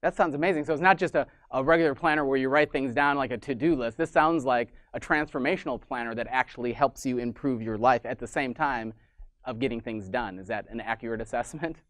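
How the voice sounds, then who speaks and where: A man's voice: mid-range voice, brisk, fairly bright tone, no room sound. Enthusiastic male interview host, dry sound. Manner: enthusiastic, repeats "amazing", some restarts. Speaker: podcast host. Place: Sydney, Australia. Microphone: video call.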